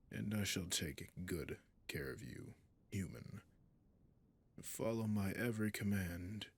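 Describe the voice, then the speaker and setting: A man playing a haughty, possessive dragon king in a roleplay audio drama, speaking in slow, deliberate, formal phrases with a breathy, husky voice that often drops near a whisper. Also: deep voice, fairly bright tone, full character voice. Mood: seductive, commanding. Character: imperious, possessive male dragon king, menacing and seductive.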